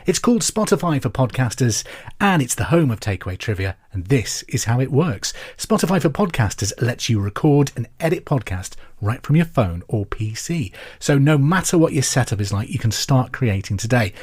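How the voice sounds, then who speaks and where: A man presenting a podcast pub quiz in a scripted, clear and deliberate way. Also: mid-range voice, a little mellow. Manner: repeats questions clearly and deliberately.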